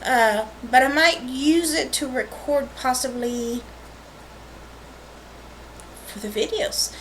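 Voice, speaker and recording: A high-pitched woman giving a casual, rambling vlog introduction as host of an art channel, recorded on a webcam microphone. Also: slow, a bit bright, low-quality recording. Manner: rambling, informal asides and self-corrections. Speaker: host of a watercolor painting channel. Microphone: built-in webcam microphone. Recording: faint noise on a webcam mic.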